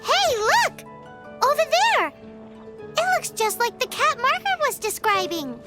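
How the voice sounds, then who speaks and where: A woman's voice: extremely high pitch, big pitch swings, unhurried, full character voice. A woman voicing a small, chatty floating companion in a video game who talks about herself in the third person. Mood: eager. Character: tiny floating female fairy-like companion, chatty and cheeky. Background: game soundtrack music.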